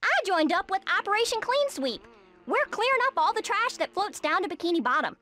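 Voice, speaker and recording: A woman voicing a perky, brisk sea-creature volunteer in a cartoon video game. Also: very high voice, big pitch swings, bright, forceful tone, exaggerated voice.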